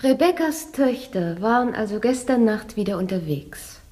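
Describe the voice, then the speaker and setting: A woman playing a spirited, sharp-tongued, mocking young gentlewoman in a German radio play. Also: medium pitch, expressive, normal speed, long reverb tail, close to an everyday voice. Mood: teasing. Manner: mocking, playful.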